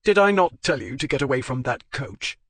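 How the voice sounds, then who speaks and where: A man voicing a hot-tempered, bossy young gentleman who speaks in curt commands. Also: medium pitch, animated, brisk. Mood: angry.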